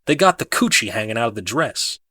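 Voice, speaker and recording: A man performs a zealous street preacher for an audiobook, convinced of hidden plots. The voice is forceful and bright at a middle male pitch. He talks in a colloquial, insistent way, instructing and asking rhetorical questions, in a dry close space. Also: very animated, brisk, slightly rough, no echo, exaggerated voice. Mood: scornful, indignant. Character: fervent street-preaching conspiracy theorist man, folksy and insistent. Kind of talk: dramatic audiobook monologue.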